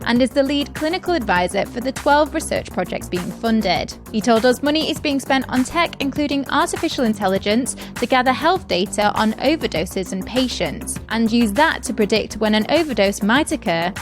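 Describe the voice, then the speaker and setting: A woman's voice: on the high side, animated, normal speed, few pauses, faint music behind. A woman presenting scripted news as a podcast host.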